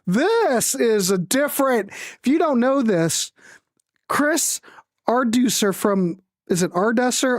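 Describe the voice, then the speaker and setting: A woman's voice: mid-range voice, dry recording, clean studio sound. A woman hosting a music show, chatting casually about records in a rambling, jokey, informal way with viewers.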